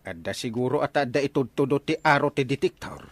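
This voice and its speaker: A man with an Ilocano Filipino accent playing an excitable, stubborn treasure-hunting village captain in a radio comedy drama. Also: mid-range voice, brisk, dry recording, lightly acted. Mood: confident.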